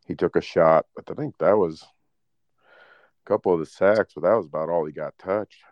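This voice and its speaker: Very deep-voiced man on dull lo-fi audio. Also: moderate pace, no echo. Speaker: podcast co-host. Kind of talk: casual sports chat.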